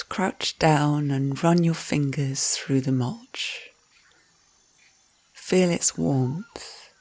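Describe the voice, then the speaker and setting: A woman leads a guided visualisation in a low, soft voice with a creaky edge. She speaks very slowly and soothingly, giving gentle instructions and letting phrase endings turn breathy. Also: no room sound. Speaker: guided meditation narrator. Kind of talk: guided visualisation, scripted narration.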